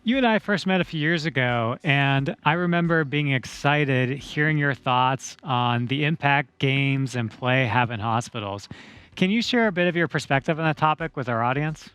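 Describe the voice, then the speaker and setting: A man hosting an interview, reading a scripted introduction and questions in a measured way. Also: medium pitch, expressive, a bit bright, smooth, no room sound. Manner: measured, read-from-script delivery. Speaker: interview host. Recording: dry close mic with faint music.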